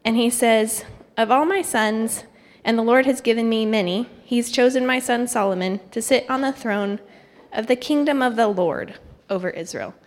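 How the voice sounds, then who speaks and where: A woman's voice: on the high side, moderate pace, hardly any pauses. A young woman who grew up in Thailand gives an informal church testimony, speaking conversationally with a self-deprecating touch. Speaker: guest speaker, missionary candidate. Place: church hall, speaking to congregation. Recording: echoey hall with long reverb.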